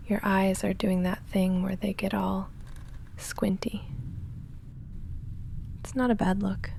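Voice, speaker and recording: A woman playing a young goth vampire roommate in an ASMR roleplay, speaking softly and often whispering in a dry, halting, self-deprecating way. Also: mid-range voice, a little hiss or hum. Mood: hushed, wry. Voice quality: soft, often whispered, breathy fry.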